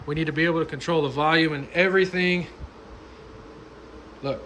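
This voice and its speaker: A man's voice: a little high, fairly even intonation, fairly quick, fairly bright tone. A man giving a casual, plain-spoken how-to narration as the host of a DIY car audio video, in informal colloquial speech. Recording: clearly noisy background.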